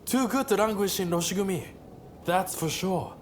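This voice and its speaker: A man's voice: sits high, moderate pace, a little hiss or hum, lightly acted. A man playing a cocky, flamboyant rebel samurai and gambler in a video game cutscene, with a sardonic, theatrical drawl. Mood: amused.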